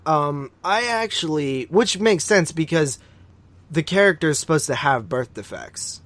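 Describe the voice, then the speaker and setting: A man's voice: fairly high pitch, lively intonation, measured pace, a bit bright. A man hosting a horror podcast, reviewing films in casual, slangy chat with plenty of swearing. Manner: slangy, swearing, frequent "like" and "dude". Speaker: horror film podcast host.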